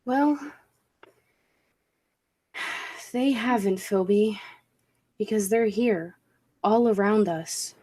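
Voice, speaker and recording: A woman's voice: mid-range voice, drawn-out words, long pauses, a little mellow. A woman playing a skeptical, intense professor of science, speaking earnestly and emphatically in a 1940s-style radio play. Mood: uneasy. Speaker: amateur drama club actor.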